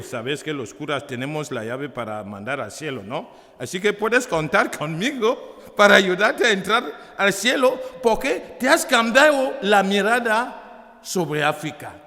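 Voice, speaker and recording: High-voiced man in an echoey hall. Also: pushed and bright. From Africa. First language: not Spanish. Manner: emphatic, halting, repeating phrases. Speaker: priest and author presenting a book. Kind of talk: public talk, preaching and persuasive. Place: hall at a book presentation. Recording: echoey hall with long reverb.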